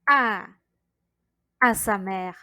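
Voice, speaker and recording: A woman's voice: medium pitch, extremely slow, bright, forceful tone, no echo, clean studio sound. A woman playing a meek, evasive father in a radio drama, with a hesitant manner. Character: meek, evasive father eager to keep peace. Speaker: student actor.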